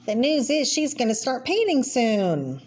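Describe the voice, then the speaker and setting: Woman voicing an opinionated storybook cartoon lamb, raised pitch. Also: very animated, measured pace. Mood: huffy, opinionated. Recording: clear background noise.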